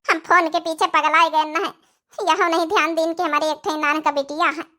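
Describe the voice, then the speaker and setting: A woman acts out an emotional young village wife and mother in a cartoon. Her voice is high and piercing, with effusive pleading and an Awadhi accent. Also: steady tone, normal speed, pushed and bright. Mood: tearful pleading.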